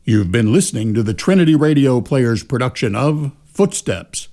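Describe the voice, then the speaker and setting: A man's voice: medium pitch, animated, normal speed, warm tone. A man reading scripted closing credits and sponsor announcements for a radio play.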